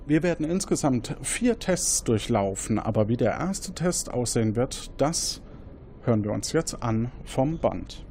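A man's voice: mid-range voice, expressive, unhurried, dark tone, slight background noise. A man hosting an audio quiz show as a training officer, presenting in a semi-scripted, role-playing style.

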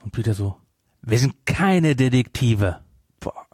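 A man's voice: mid-range voice, animated, measured pace, a little mellow, dry recording, clean studio sound. A man hosting a podcast, chatting casually about a film with sarcastic, incredulous asides. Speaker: podcast host. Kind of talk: casual film discussion chat.

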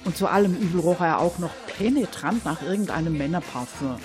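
A woman's voice: on the low side, lively intonation, fairly quick, over music. A woman reading scripted narration for a crime story.

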